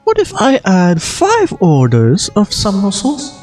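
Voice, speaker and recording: A performer voicing a gracious, protective female game guardian with a breathy British accent and a polite, reassuring manner. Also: mid-range voice, huge pitch swings, a little slow, darkish tone, faint music behind. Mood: polite, concerned.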